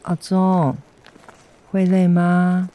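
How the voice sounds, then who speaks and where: A woman with a Taiwanese accent playing a gentle, apologetic mother recovering from surgery, speaking tenderly in an educational radio drama. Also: on the low side, monotone, drawn-out words. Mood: concerned. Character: gentle, apologetic convalescing mother of a teenage boy. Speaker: amateur voice actor, schoolteacher.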